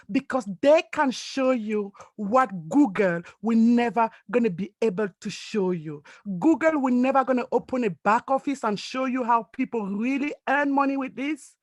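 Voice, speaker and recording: A woman's voice: on the high side, a little mellow, no echo. A woman from Cameroon giving an emphatic, earnest motivational speech over a video call as a network marketing leader. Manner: earnest, emphatic, non-native phrasing.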